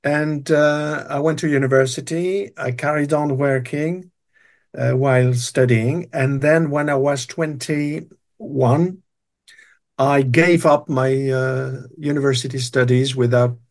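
Older male guest, middle pitch, halting anecdotal delivery. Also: steady tone. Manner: rambling, hesitant, many restarts and fillers. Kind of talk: storytelling interview.

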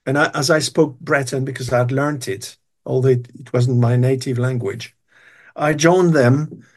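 A man's voice: medium pitch, fairly even intonation, unhurried. Older man telling stories. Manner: rambling, hesitant, many restarts and fillers. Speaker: guest. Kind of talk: storytelling interview.

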